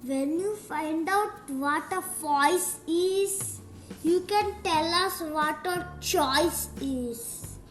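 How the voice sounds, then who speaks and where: A young girl reading a rhyming picture book aloud in a deliberate, sing-song storytime style. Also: very high voice. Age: child. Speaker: young reader of picture books. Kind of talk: read-aloud storytime narration of rhyming verse. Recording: roomy echo, music playing behind. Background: music.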